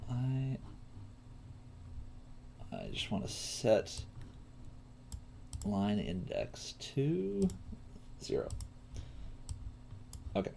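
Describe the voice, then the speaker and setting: A man teaching coding on a casual livestream, thinking aloud in a halting mutter as he works. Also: on the low side, creaky, warm tone. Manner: halting, muttering to himself while working. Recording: faint noise, keyboard typing and clicks. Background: computer keyboard typing and mouse clicks.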